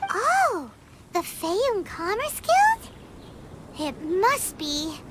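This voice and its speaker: A tiny, excitable childlike game companion. The voice is extremely high and squeaky, swooping wildly and drawing words out, with loud noise behind it. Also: female voice, drawn-out words, heavily acted. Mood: curious. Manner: squeaky, exclaiming. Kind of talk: video game voice acting.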